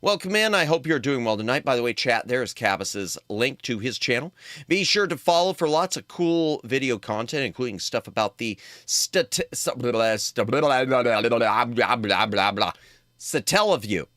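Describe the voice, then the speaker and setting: A man riffs on a game for his live chat, joking and animated. His pitch leaps around and his tone is bright and forward. The sound is dry and close. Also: normal speed, no room sound. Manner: jokey, animated, talks to chat. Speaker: streamer.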